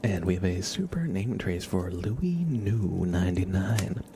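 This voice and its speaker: A man speaks very low and slowly as an ASMR streamer. His soft voice often drops into a whisper, with a gentle, encouraging manner. Clear ambient noise sits behind him. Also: animated. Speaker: ASMR livestream host.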